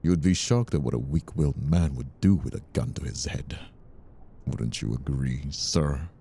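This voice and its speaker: A man acts out a menacing mobster in an audio roleplay, with a bottomless, dark voice full of gravel. He mocks and condescends, drawing out his words like a villain who enjoys his power. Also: rumbling low, moderate pace, exaggerated voice. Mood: defiant, menacing. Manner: taunting, condescending, drawling. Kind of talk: dramatic audio roleplay acting.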